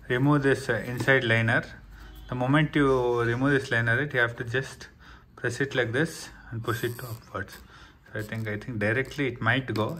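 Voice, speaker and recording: A man's voice: mid-range voice, fairly bright tone. A man with an Indian accent giving a casual hands-on how-to, explaining step by step and often checking in with "right". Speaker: tutorial presenter. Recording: clear background noise and room ambience.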